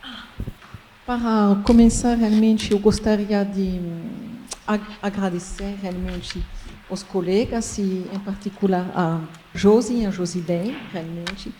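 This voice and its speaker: A woman's voice: mid-range voice, steady tone, slow, warm tone. A native French woman with a strong French accent giving a conference talk, searching for her words as she goes. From France. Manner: hesitant, searching for words, repeating "realmente". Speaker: academic guest speaker. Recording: reverberant hall with faint noise.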